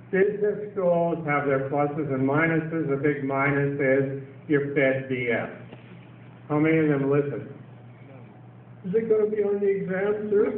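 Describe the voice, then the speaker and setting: Older guy lecturing a crowd, sardonic, fairly high voice, far off in an echoey hall, tinny sound. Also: a little hiss or hum. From Canada. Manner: sardonic, rhetorical questions. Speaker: seminar speaker. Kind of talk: public talk with audience questions. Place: council chamber, echoey hall. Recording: distant echoey lo-fi hall recording.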